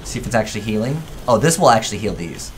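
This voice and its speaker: A man's voice: lowish voice, sing-song, fast talker, a little hiss or hum. A man giving casual, off-the-cuff gameplay commentary, thinking aloud and questioning himself as he goes. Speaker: gaming video creator.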